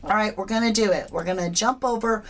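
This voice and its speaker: A chatty woman hosts a true crime live stream in a casual, folksy way, throwing in asides and calling out viewers by name. Her voice sits at an everyday middle pitch for a woman and comes through a home desk microphone. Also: fast, a little hiss or hum. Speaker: true crime livestream host.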